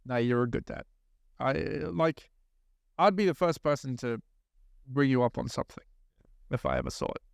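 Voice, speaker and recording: A man in his thirties with a New Zealand accent speaks at a middle pitch with a gravelly edge, earnest and reflective as he asks probing questions. The recording is close and dry, right at the mic. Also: lively intonation, moderate pace, long pauses, no echo. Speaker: podcast host. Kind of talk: casual interview chat.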